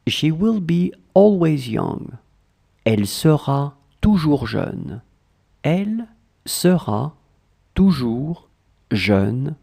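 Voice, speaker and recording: Very slow dictation voice, dark and gravelly, lively pitch, repeats phrases chunk by chunk. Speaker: language tutor.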